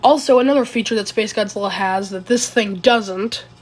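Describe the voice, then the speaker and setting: A woman who is sick and raspy, talking through a casual commentary video in a rambling, hesitant way and often correcting herself. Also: medium pitch, normal speed. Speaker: video creator.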